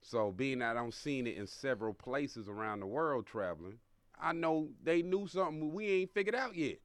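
A man's voice: mid-range voice, lively intonation, moderate pace, dry recording. A middle-aged man chatting casually and telling stories in a slangy, rambling way. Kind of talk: casual chat, storytelling.

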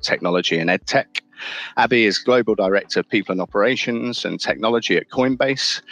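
A man hosts a panel podcast in a very deep but bright, forceful voice. He introduces the guests politely and often fills pauses with "um". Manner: polite, steady, with frequent "um" fillers. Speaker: podcast host, moderator.

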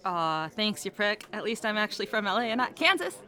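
A young woman with a bright, forceful voice, acting in a radio play. She is a sassy soccer fan, sarcastic and quick to tease her friends. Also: mid-range voice, very animated. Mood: teasing. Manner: sarcastic, bantering. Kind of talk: audio drama acting.

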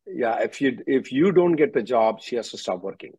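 A husky-voiced man giving direct, instructive legal advice as the attorney hosting a question-and-answer call. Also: mid-range voice, expressive, normal speed, dark tone, dry recording. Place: conference call, close dry sound. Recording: dull, muffled conference-call audio.

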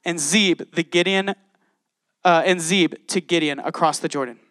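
A man with a high voice preaches a sermon in a church. He reads scripture aloud and turns to the congregation with passion. The sound is close and dry, with no room echo. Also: moderate pace, fairly bright tone. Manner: passionate, addresses congregation directly. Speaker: pastor. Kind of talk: sermon with Bible reading.

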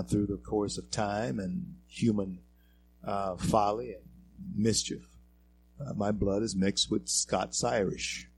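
A talk show host from Louisiana, giving a halting monologue full of fillers and repeated words that swells into emphatic peaks. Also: male voice, lowish voice, a little mellow, slight background noise. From Louisiana, American South.

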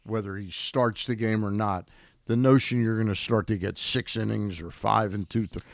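A man chatting casually as a commentator on a sports radio show, with a halting, questioning way of talking. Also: lowish voice, fairly even intonation, creaky, no room sound, clean studio sound. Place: radio studio.

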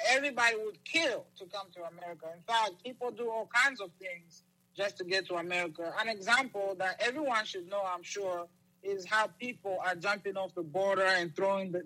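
A man from Zambia, a guest on a talk show, answering in a halting way with many restarts. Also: high voice, bright, forceful tone, low-quality recording. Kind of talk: interview answers.

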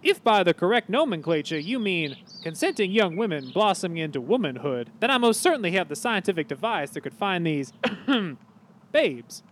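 Cartoon student genius: young male nerd, formal and verbose, deferential, lively swooping pitch, faint noise behind. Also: moderate pace. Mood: pedantic, deferential. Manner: formal, verbose, butler-like politeness. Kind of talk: comedy cartoon acting.

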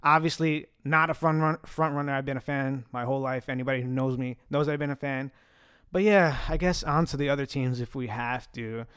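A man's voice: medium pitch, steady tone, a little fast, fairly bright tone, clear, resonant tone, no room sound, clean studio sound. A young man whose first language is Italian, hosting a casual fan Q&A, chatty and joking, with a slight stutter and restarts. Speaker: host answering fan questions. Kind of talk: casual Q&A chat.

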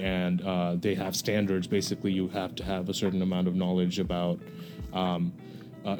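A young man from Bangladesh, a podcast guest, explaining things casually with many hesitations and fillers. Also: low voice.

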